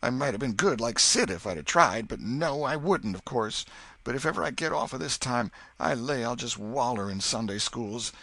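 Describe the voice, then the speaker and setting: A man voicing a scared, superstitious young village boy who speaks in a rustic backwoods dialect, hushed and fearful. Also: on the low side, expressive, normal speed, husky, no echo, voice pushed up, exaggerated voice. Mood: frightened.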